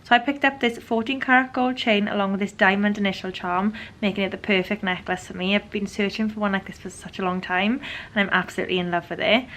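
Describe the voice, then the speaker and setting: A woman in her twenties, a fashion vlogger chatting to camera in a casual, enthusiastic way, her voice a little hoarse from a cold. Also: mid-range voice, steady tone, quick pace, fairly bright tone, recorded from a distance. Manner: chatty, enthusiastic, restarts and repeats words. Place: bright room indoors.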